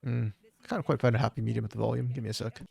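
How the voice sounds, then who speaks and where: A man with a slightly hoarse voice giving casual live commentary on a match as a solo streaming caster, thinking aloud as he goes. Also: medium pitch, steady tone, very fast, a little mellow. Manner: casual, thinking aloud, jumps between tech trouble and play calls. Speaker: esports caster.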